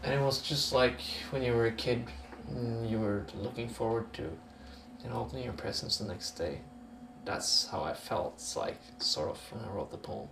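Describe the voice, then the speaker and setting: A man's voice: medium pitch, normal speed, gravelly, reverberant, noisy background. A man with a Norwegian accent talking casually in an interview, musing in a rambling, sweary way. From Norway.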